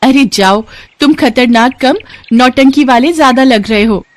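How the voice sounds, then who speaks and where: A woman's voice: sits high, unhurried, dry recording, pitched above the performer's own voice. A woman voicing a plucky, innocent little girl with a bold, curious, childlike manner in a children's story. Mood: cheeky, defiant.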